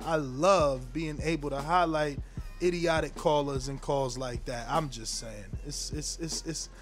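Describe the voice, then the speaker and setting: Brash high-voiced man hosting a call-in show. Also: unhurried, soft background music. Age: 40s. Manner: brash, profane, teasing. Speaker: host.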